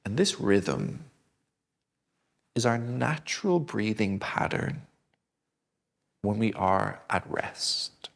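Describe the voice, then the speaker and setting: Gentle, gravelly-voiced male breathing guide. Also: fairly low pitch, animated. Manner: slow, gentle and soothing. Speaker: breathing instructor.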